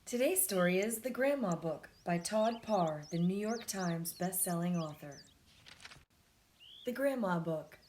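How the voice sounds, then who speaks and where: Lowish-voiced woman reading a children's picture book. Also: animated, measured pace, a little mellow, slight background noise. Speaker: storytime host reading aloud. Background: music.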